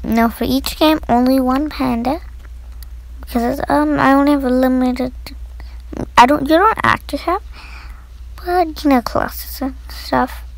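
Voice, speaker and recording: High-voiced woman chatting over gameplay. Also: vocal fry, dry recording, poor audio. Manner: rambling, halting, thinks aloud. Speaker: gaming video host. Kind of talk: casual gameplay commentary.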